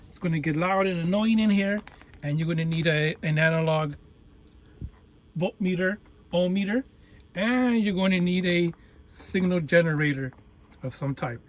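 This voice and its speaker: A man presenting an informal hands-on technical demonstration, explaining step by step in halting, instructional phrases. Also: high voice. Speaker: tutorial presenter, radio hobbyist.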